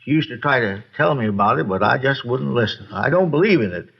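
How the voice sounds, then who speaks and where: A man's voice: medium pitch, fast, gravelly, slightly rough. An actor playing a folksy, boozy older American man who rambles and repeats himself in an old radio drama. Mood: evasive, rambling. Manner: rambling, repetitive, folksy, excitable. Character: rambling, boozy, folksy male neighbor witness. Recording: dull, lo-fi old radio recording.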